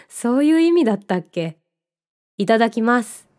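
A woman playing a gentle, dreamy young narrator in a scripted radio drama, with a soft, reflective storytelling manner. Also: on the high side, sing-song, unhurried. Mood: warm, curious.

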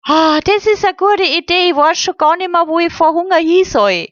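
A woman's voice: very high voice, animated, normal speed, no room sound, pitched above the performer's own voice. A woman reading the part of a hungry, cheerful boy who cracks jokes in a matter-of-fact way. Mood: lively. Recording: slightly muffled recording.

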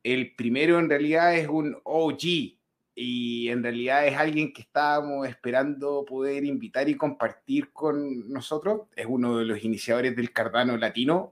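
A man hosting an interview, introducing guests and asking earnest questions over a video call. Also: mid-range voice. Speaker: host.

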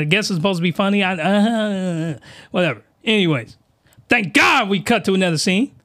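Excitable man, high bright voice. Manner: rambling, excitable, mock-outraged with voice cracks. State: losing his voice. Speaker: podcast host. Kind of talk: comedic anime recap.

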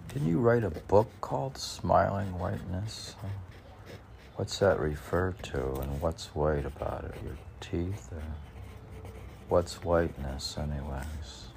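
Male poet, extremely low voice with heavy fry, musing through self-posed questions and answers; noisy amateur recording. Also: a little mellow. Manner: halting, musing, repeats questions back to himself. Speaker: poet reading and discussing his own work.

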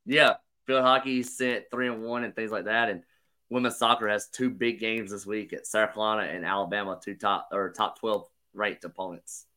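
A man co-hosting a sports podcast, slightly hoarse, talking through analysis in a measured way. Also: on the low side, steady tone, bright, forceful tone. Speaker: podcast co-host. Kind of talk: casual sports chat.

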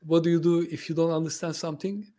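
A man's voice: on the high side, flat delivery, quick pace, warm tone. Hesitant Turkish-born man in interview. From Turkey. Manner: hesitant, many fillers and restarts, friendly. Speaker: podcast guest, translation company owner. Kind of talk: conversational interview. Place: lives in the UK.

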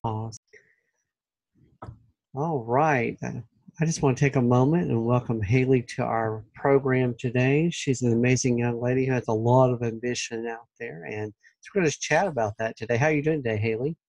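A man hosting a podcast, easygoing and quick to laugh along with his guest in casual chat. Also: medium pitch, a little mellow, no echo, faint music behind, rough recording. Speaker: podcast host.

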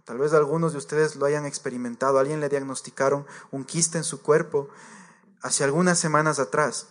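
A man's voice: fairly high pitch, fairly even intonation, brisk. A man preaching a sermon to a congregation, earnest and direct, repeating his points and addressing the listeners as believers.

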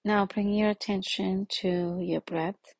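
A woman leading a guided meditation in a slow, soothing manner with long pauses. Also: mid-range voice, fairly even intonation, no echo, rough recording.

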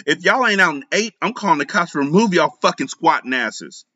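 A man voicing a furious, betrayed wife who curses and speaks slowly and emphatically, as an audiobook narrator. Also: a little high, lively intonation, pushed and bright, voice pushed up. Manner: cursing, slow and emphatic. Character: furious betrayed wife, sharp-tongued, commanding. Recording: slightly muffled.

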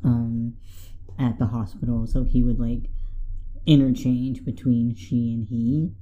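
A young man co-hosting a casual podcast, talking haltingly with many fillers and restarts. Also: medium pitch, level tone, warm tone. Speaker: podcast co-host. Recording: tinny phone-like sound, faint music.

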